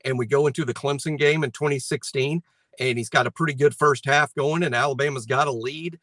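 A man discussing his football rankings on a sports talk show in a measured, analytical way, often restarting his sentences. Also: mid-range voice, fairly even intonation, a bit bright, no echo.

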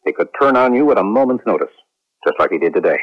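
An actor playing a resentful, manipulative colonel in a late-1970s radio drama. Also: male voice, lowish voice, lively intonation, fast talker, breathy edge. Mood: confiding, insinuating. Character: scheming, resentful male colonel, smooth manipulative instructor. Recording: muffled, lo-fi vintage radio recording.

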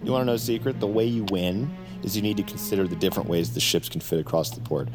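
A man's voice: lowish voice, quick pace, over music, natural voice. A man playing a reluctant, nervous prisoner who rambles, stammers and wisecracks in an audio drama. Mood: grudging. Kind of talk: naturalistic audio drama acting.

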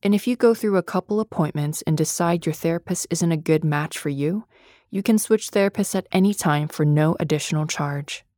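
A woman's voice: low voice, a little fast, dark tone, no echo. A woman reading a scripted podcast advertisement close to the mic. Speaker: advertisement reader.

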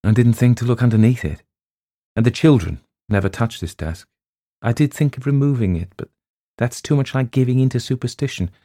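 A man voicing a brisk, matter-of-fact middle-aged female schoolteacher in a read story. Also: mid-range voice, warm tone, no room sound, studio quality, pitched above the performer's own voice, natural voice.

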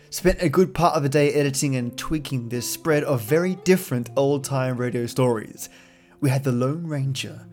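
A man's voice: a little high, darkish tone. Effusive male podcast host over guitar music. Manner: effusive, with slangy matey address. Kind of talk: friendly, colloquial podcast outro. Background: plucked guitar music.

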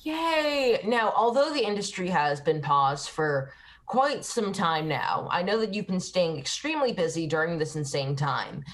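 An enthusiastic talk show host with a medium-pitched voice, gushing over guests in an upbeat interview style. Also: sing-song, measured pace, fairly bright tone.

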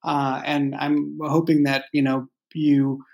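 A man in a casual podcast discussion, speaking as a creator and writer in a halting way, searching for words. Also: fairly high pitch, level tone, creaky, a little mellow, dull audio. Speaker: audio drama creator and writer.

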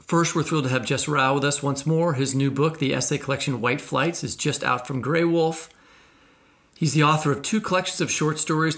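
A man's voice: mid-range voice, gravelly, a bit bright. A man hosting a discussion podcast, introducing guests and posing questions in a presenter's style. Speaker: podcast co-host.

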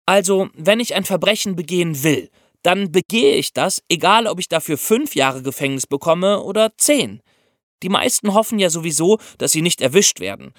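Lively, higher-voiced man explaining things. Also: moderate pace, no echo, clean studio sound. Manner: explains step by step. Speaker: podcast co-host explaining law. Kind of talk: explanatory podcast talk for young listeners.

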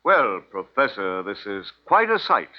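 An actor playing a commanding military officer in a 1950s radio drama, with an authoritative, clipped delivery. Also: male voice, on the low side, very animated, unhurried. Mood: brisk, surprised. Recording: dull old 1950s radio broadcast.

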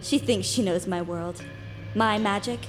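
A woman's voice: medium pitch, a little slow, music in the background, lightly acted. An actress playing an inhuman demon that speaks in the guise of a young woman in an audio drama. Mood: menacing contempt. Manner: taunting, superior. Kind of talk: audio fiction drama acting.

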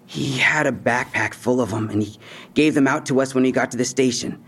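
A young man with a husky voice talks in slangy, defensive bursts. He postures as tough and dodges questions, with fear underneath, in a naturalistic audio drama. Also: mid-range voice, brisk, fairly bright tone. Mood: cocky, casual. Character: defensive young robbery suspect, tough talk hiding fear.

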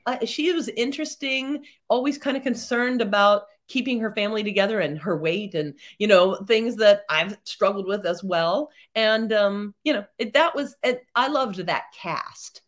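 Chatty middle-aged woman, animated. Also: mid-range voice, moderate pace, few pauses. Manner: chatty and animated, rambling with frequent fillers and laughs. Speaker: theatre founder and performer, podcast guest. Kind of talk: casual interview chat.

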